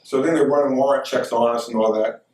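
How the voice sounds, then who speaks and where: A man's voice: medium pitch, steady tone, fast, a little mellow. Casual American male storyteller, middle-aged, recorded in an echoey room at some distance from the mic. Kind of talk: casual first-person anecdote telling.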